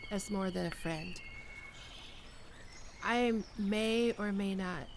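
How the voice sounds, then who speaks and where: A performer playing a gentle young best friend with a secret crush, speaking softly and hesitantly in an ASMR roleplay, comforting and tender. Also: medium pitch, background noise. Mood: shy, nervous. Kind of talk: soft-spoken ASMR roleplay.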